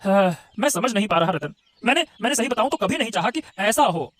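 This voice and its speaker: A man plays a struggling cartoon shopkeeper. His high, quick voice is worried, hesitant and defensive, over music. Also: lively intonation, fairly bright tone. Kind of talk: Hindi moral-story cartoon voice acting.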